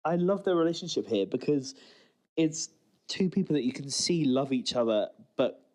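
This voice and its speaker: A man's voice: medium pitch, expressive, moderate pace, a little mellow. A man co-hosting a podcast, talking through his observations in a casual, conversational, explanatory way. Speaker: co-host of a TV commentary podcast.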